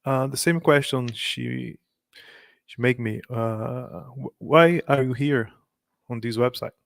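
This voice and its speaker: A Brazilian man with a Brazilian Portuguese accent chats on a live stream at a middling pitch. He is talkative and laughs often, and he stumbles a little when he speaks English. His voice sounds dry and close. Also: slow, creaky, dry recording. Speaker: host practicing English with strangers. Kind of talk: casual live-stream chat.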